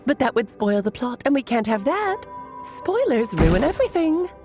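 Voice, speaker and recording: A playful, cryptic game mage with an auntly, genteel air. Her voice is on the high side and moves in lively, theatrical swoops. Faint music sits underneath. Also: normal speed. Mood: playful, teasing. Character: playful, theatrical, cryptic adult woman mage, affectionate aunt. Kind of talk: video game character voice acting.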